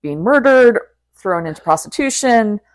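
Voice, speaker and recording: A woman's voice: a little high, expressive, normal speed. A woman co-hosting a podcast in a casual discussion. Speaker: podcast co-host.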